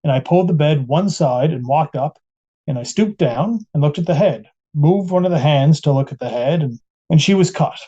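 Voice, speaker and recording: A man acting as an 1890s police captain testifying in a courtroom drama. His voice is soft-edged and mellow, pitched a little high. He answers plainly and deferentially, and the sound is slightly muffled. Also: animated, normal speed, husky. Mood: matter-of-fact. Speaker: voice actor reading a trial transcript.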